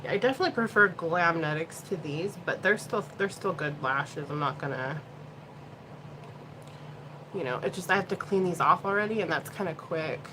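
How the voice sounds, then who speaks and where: A woman in her thirties chats loosely as she tries out makeup, wandering between thoughts and dropping casual swears. Her pitch sits in a middle range, and a steady hiss of background noise runs under the whole recording. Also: normal speed. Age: 30s. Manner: chatty, rambling, swears freely. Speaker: beauty livestream host. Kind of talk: casual chat while doing makeup.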